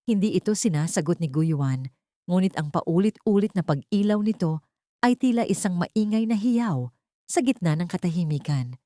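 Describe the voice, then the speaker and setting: Female storyteller for melodramatic fiction, slightly low voice, dry close recording. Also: expressive, moderate pace, warm tone, no room sound. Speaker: story narrator. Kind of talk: dramatic scripted audio story narration.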